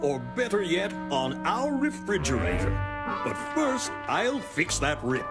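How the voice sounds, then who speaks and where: A man's voice: sits high, very animated. An actor playing an admiring, dreamy grown man in a cartoon. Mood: musing. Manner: slow, musing. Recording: cartoon soundtrack with loud music.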